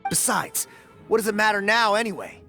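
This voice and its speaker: A man's voice: high voice, a little fast, gravelly, bright, forceful tone, faint music behind, exaggerated voice. An actor playing a sarcastic, combative male prison escapee in a game cutscene. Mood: bitter. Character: bitter hot-tempered male prison escapee.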